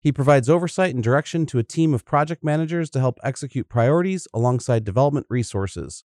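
A man's voice: mid-range voice, expressive, fast talker, a little mellow, no room sound, studio quality. A man hosting a podcast, reading a prepared introduction of a colleague. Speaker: podcast host. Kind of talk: scripted introduction.

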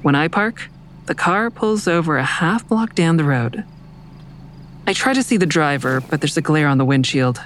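A woman's voice: deep voice, animated, moderate pace, slight background noise. A woman playing a troubled, defiant suspect who narrates her own story in an audio drama, pointed and anxious. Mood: guarded narrating. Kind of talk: first-person audio drama narration and dialogue. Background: music.

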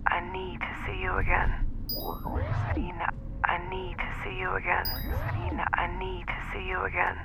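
Smitten lover on muffled voicemail. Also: female voice, fairly low pitch, lively intonation, normal speed. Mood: longing. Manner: breathy, half-whispered, pleading. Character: smitten, pleading, seductive female lover. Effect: phone voicemail filter. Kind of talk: intimate audio drama acting. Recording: muffled voicemail with background noise.

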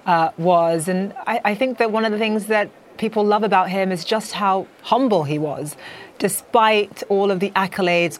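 A woman anchoring a business news show, speaking in a brisk, polished way. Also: mid-range voice.

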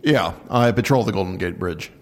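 A man's voice: low voice, lively intonation, moderate pace. An actor playing a guarded male patrol officer in a naturalistic audio drama, blunt, offhand and reluctant to talk. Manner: offhand, reluctant, plain-spoken.

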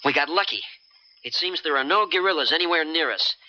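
An actor playing a wary, daring boatman with a guarded, halting delivery in 1970s radio drama style. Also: on the high side, pushed and bright. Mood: fond, purposeful. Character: wary, daring boatman, fond lover, leading a mission. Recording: dull, noisy old radio broadcast.

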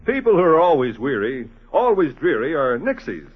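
A man pitches like a 1950s radio salesman, punchy and very animated. His voice is fairly high and rough-edged, on a muffled old recording. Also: moderate pace, faint music behind. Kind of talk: 1950s scripted radio advertisement.

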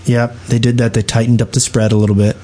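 A man speaks at a middle pitch in casual podcast chat. He explains things as he goes, with a halting, conversational delivery. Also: flat delivery, warm tone. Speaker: podcast co-host.

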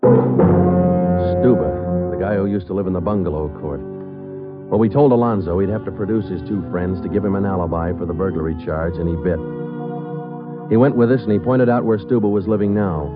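A dull vintage radio recording with music carries a low-voiced police sergeant played by a man. He narrates his case in clipped, deadpan phrases, terse and methodical. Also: moderate pace, pauses often, natural voice. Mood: matter-of-fact. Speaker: actor and narrator. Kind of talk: 1940s radio detective drama acting.

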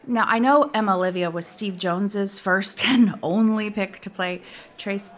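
A woman's voice: mid-range voice, normal speed, lightly acted. A woman playing a flustered, giggly casting director. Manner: flustered, giggly, stumbling over words. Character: nervous woman casting director, awkward, self-deprecating. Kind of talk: comedic audio drama.

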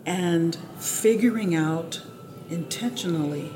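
A woman gives serious interview commentary in a lowish voice. She speaks very slowly and emphatically, with clear background noise around her. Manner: deliberate, emphatic. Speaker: opera librettist, interviewee.

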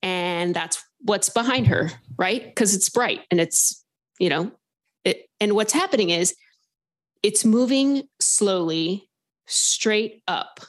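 A woman from Southern California calling in to a podcast, telling her story in an animated, rambling way full of filler words. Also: medium pitch, slow. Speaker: caller sharing a paranormal story. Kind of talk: casual storytelling on a phone-in podcast.

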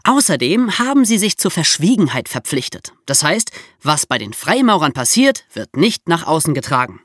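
A podcast co-host explaining history conversationally, with expressive, playfully ironic emphasis. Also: medium pitch, normal speed, husky, no echo, clean studio sound.

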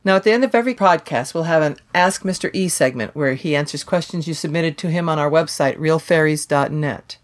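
Low-voiced woman hosting a podcast. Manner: measured, explanatory. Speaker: podcast host. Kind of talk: casual podcast chat and scripted intro.

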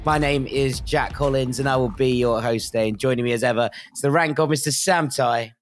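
A man's voice: medium pitch, brisk, dry recording, clean studio sound. A man hosting a football podcast in an upbeat, chatty way. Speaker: podcast host. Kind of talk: casual chat.

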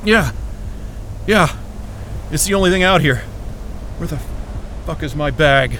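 A man playing an irritable, sweary young astrophysicist in a naturalistic audio drama, talking in a grumbling, halting way. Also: a little high, animated, a bit bright, a little hiss or hum. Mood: pained, irritated. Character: groggy, irritable, freezing young male astrophysicist.

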